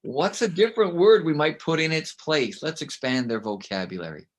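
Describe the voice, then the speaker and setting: A middle-aged man from Canada with a loud voice, speaking as a guest in an interview. He is emphatic and tells stories, and he often ends his points with a quick "right?". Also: fairly high pitch, lively intonation. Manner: emphatic, storytelling, with frequent "right?" tags. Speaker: guest author and consultant. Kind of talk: conversational interview.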